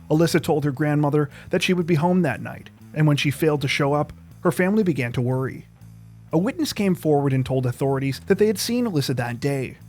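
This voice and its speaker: A man reads scripted true crime narration in a measured, serious manner. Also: fairly high pitch, a little mellow. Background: plucked guitar music.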